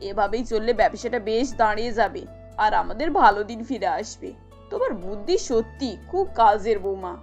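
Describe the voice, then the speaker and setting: A woman's voice: medium pitch, animated, normal speed, a little mellow, faint music behind, natural voice. A woman playing a kindly older village mother-in-law in a cartoon, homely and motherly in manner. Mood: approving. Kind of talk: cartoon character acting.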